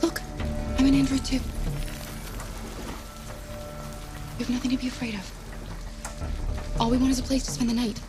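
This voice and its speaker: An actress playing a gentle, protective female android in a video game, speaking softly and earnestly with a reassuring manner. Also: high-pitched, little pitch movement, brisk, natural voice. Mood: calming. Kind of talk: naturalistic video game acting. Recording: music and rain behind.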